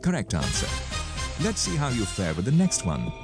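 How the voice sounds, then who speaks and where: A man hosting a quiz in a scripted presenting style, reading out questions and answer options in a deliberate, measured way. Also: lowish voice, wildly swooping pitch, over music. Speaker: quiz show host.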